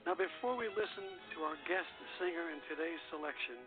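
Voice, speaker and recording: A man's voice: on the high side, animated, brisk, vocal fry. Male radio host on a tinny line. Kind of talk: scripted narration with introductions. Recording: phone-like tinny sound over loud music.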